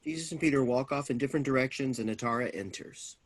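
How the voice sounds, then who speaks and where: Man reading stage directions, mid-pitched. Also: fast. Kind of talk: scripted narration at a staged reading.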